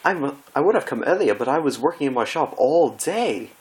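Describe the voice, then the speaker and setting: Here is a refined lady pony from a cartoon fan story, acted out by a male reader. The voice is pitched well up, with gushing, theatrical delivery. Every phrase is prim, fussy and full of drama. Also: lively intonation, brisk, natural voice. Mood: theatrical remorse.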